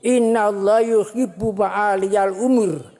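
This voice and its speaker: A woman religious teacher giving a sermon at a ceremony, speaking slowly and repeating phrases for emphasis. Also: mid-range voice, steady tone, dark tone. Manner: slow, repetitive, emphatic preaching. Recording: long hall reverb.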